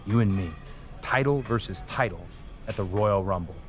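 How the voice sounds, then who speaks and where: An actor playing a competitive, self-assured wrestler with an assertive, earnest manner in a video game cutscene. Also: male voice, fairly low pitch, normal speed, soft background music, lightly acted. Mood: matter-of-fact.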